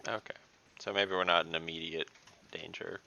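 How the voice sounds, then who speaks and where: A man playing a tabletop game online, casually thinking aloud in a deliberate, hesitant way. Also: deep voice, flat delivery, creaky, pushed and bright, no echo, dull audio.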